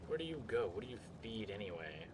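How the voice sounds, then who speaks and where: Low-voiced man musing over his game. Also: sing-song, quick pace, background noise. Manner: mumbling to himself, musing over puzzles, greets chat. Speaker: game streamer. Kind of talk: casual live-stream commentary while playing.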